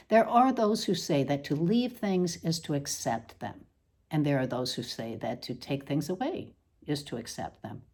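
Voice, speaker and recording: A woman reading a scripted literary essay aloud, with measured, deliberate phrasing and pointed pauses. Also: fairly low pitch, darkish tone, slightly rough. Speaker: reader.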